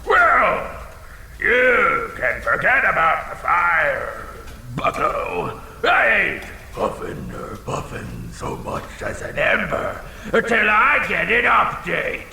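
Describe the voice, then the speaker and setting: Gravelly, blustering dragon in a cavern. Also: male voice, huge pitch swings, slow pace, sharp tone, full character voice. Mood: aggrieved. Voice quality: gravelly, creaky. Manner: theatrical booming threats collapsing into fussy, casual muttering. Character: blustering, insecure, half-blind dragon with huge teeth. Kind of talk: comedic audio drama acting. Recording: cavernous long reverb with faint noise.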